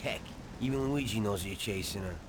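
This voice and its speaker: A man's voice: fairly low pitch, a little fast, noisy background, close to an everyday voice. A man playing a cocky, hot-tempered 1930s American mobster in a video game, with brash, slangy street talk. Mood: teasing. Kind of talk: dramatic video game voice acting.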